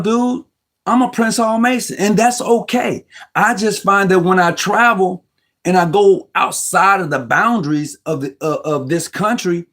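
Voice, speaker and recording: A man's voice: sits high, a bit bright. A man hosting a casual discussion about Freemasonry, talking emphatically and often restarting his words as he argues. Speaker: host.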